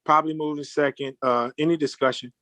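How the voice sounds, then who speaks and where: A man chairing a formal virtual board meeting in a deliberate, procedural manner over a video call. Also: on the high side, fairly even intonation, a bit bright, dry recording.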